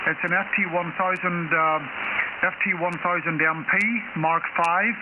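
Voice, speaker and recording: A man with a fairly high voice chats on a ham radio contact, pausing often and restarting his sentences. His voice comes through a narrow, tinny radio link with clear static hiss behind it. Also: flat delivery. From Northern Ireland. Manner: halting, many fillers and restarts. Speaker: amateur radio operator. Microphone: dynamic desk microphone.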